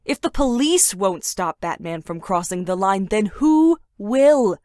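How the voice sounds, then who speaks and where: Probing TV commentator, actress, medium pitch, composed, close dry recording, comic dub. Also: female voice, animated, unhurried, darkish tone, no room sound, natural voice. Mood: critical. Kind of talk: fan dub voice acting, news debate.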